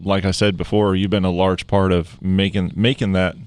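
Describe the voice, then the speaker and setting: A man's voice: deep voice, flat delivery, normal speed, darkish tone, clean tone, dry recording. A man hosting a casual interview, agreeable and a little hesitant in his phrasing. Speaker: podcast host.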